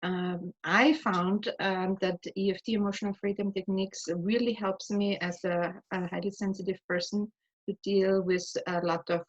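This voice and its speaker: A woman from Austria hosting and guiding a tapping session, speaking calmly with frequent fillers. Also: medium pitch. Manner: calm, measured, with many fillers. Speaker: host, EFT practitioner. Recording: slightly dull lo-fi audio.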